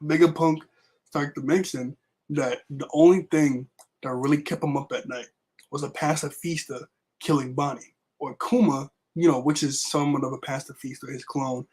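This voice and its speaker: A young man with a raspy voice left over from being sick, casually recapping a manga chapter with lots of fillers. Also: a little high, steady tone, normal speed, darkish tone. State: raspy voice recovering from illness. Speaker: manga review vlogger. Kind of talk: casual chat.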